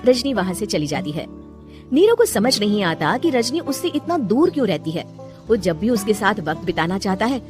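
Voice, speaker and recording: A woman tells a scripted cartoon tale in a medium-pitched voice. Her intonation moves up and down in an animated way, and soft music plays behind her. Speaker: storyteller. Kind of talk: scripted cartoon story narration.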